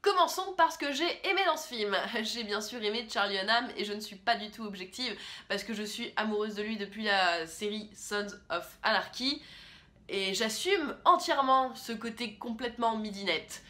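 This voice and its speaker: Young woman chatting about movies to her cam, bright clear voice, opinionated, lots of ums. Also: mid-range voice, normal speed. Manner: chatty, opinionated, with frequent fillers. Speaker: film critic host. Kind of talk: casual film review vlog. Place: small room indoors.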